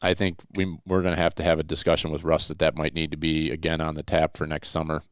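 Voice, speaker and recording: Very deep, clear-voiced male podcast host. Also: completely flat delivery, quick pace, no room sound, studio quality. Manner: rambling, joking, jovial. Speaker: podcast host taking live calls. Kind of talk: casual outdoors podcast chat.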